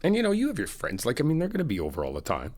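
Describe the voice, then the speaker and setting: A middle-aged man in an ASMR friend roleplay, talking in an easygoing, reassuring, chatty way with soft laughs. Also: mid-range voice, huge pitch swings, racing. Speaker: ASMR roleplay creator playing a best friend.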